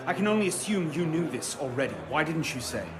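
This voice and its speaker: An actor playing a serious, guarded young assassin who speaks in dry, terse remarks, in a game cutscene. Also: male voice, fairly high pitch, big pitch swings, fast. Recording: music underneath.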